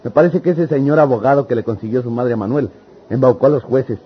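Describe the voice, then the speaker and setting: A man's voice: mid-range voice, fast talker, husky. An actor playing a scornful, disbelieving country man in an old-fashioned radio serial, ironic in tone. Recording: dull lo-fi radio serial with noise.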